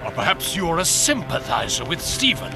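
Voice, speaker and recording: Menacing zealot leader in a bible radio drama, gravelly, big swings in pitch, stern and commanding. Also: male voice, huge pitch swings, brisk, exaggerated voice. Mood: cold, menacing. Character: menacing zealous male persecutor commanding a stoning mob. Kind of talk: audio drama acting. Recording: loud dramatic music over the voice.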